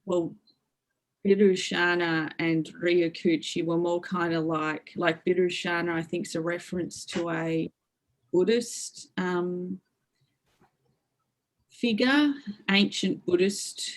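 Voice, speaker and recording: A woman's voice: lowish voice, fairly even intonation, slow pace, pauses often. A middle-aged woman lecturer being interviewed, thinking aloud with many fillers, restarts and hedges as she explains. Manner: thoughtful, lots of fillers and restarts. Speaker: interview guest, media lecturer. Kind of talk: academic interview talk.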